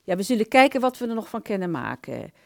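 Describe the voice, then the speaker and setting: A woman's voice: medium pitch, big pitch swings, quick pace, gravelly, dry recording, studio quality. A woman playing a frank, chatty hairdresser in a radio play, with blunt professional patter. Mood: brisk, concerned.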